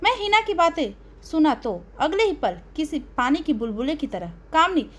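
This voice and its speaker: A woman narrating a dramatic first-person audio story in an emotional, expressive way. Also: high-pitched, moderate pace.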